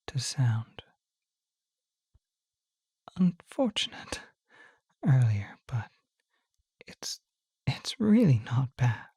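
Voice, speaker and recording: A woman voicing a gentle, shy young male naga in an ASMR roleplay, speaking in a soft, hesitant, breathy whisper. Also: rumbling low, dry recording, clean studio sound, full character voice. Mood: soft, reassuring. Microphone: close microphone, dry.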